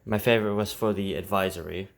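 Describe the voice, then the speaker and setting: Male vlog host, low-pitched, casual and unscripted, recorded with heavy noise. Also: steady tone, fast, creaky. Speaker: video host demonstrating weather radios. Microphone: headset. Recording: heavy background noise, clicking.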